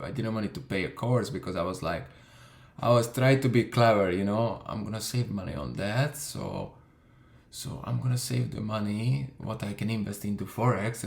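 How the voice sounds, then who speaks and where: A man's voice: on the low side, expressive, normal speed, vocal fry. A young man from Hungary tells his story in a casual, profane way, with lots of fillers. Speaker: vlogger. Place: room in London. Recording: echoey room.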